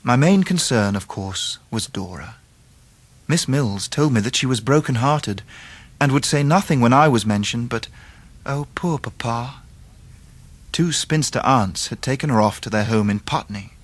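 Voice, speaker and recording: An actor playing an earnest, polite young Victorian English gentleman in a radio drama. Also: mid-range voice, lively intonation, unhurried. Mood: troubled, reflective. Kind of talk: radio drama narration and dialogue.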